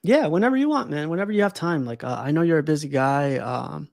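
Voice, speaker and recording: A man with a slightly high, gravelly voice hosts a relaxed stream chat. He is enthusiastic, laughs often and keeps calling his guest "man." The sound is dry and close. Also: quick pace, no room sound. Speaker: stream host. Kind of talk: casual chat.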